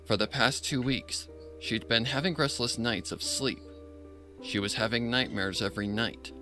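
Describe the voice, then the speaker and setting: A man's voice: mid-range voice, normal speed, pushed and bright, faint music behind. A man reading a horror story in a dramatic, scripted narration style.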